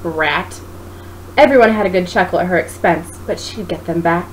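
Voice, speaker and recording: A woman reading a story aloud as narrator, in an expressive style. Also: lowish voice, moderate pace. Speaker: author reading own book. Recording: noisy home recording.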